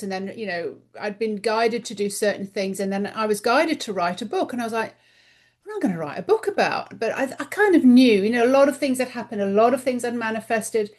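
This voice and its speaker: A woman's voice: fairly high pitch, animated, brisk. A middle-aged woman, a guest author in a casual podcast interview, talking earnestly and voicing her own inner thoughts aloud.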